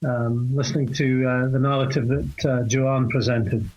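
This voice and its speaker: A Scottish man in his sixties, calling in to a radio talk show and speaking in a measured, hesitant way with many fillers. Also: medium pitch, flat delivery, darkish tone, dull audio. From north of Scotland. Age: 60s. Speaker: caller.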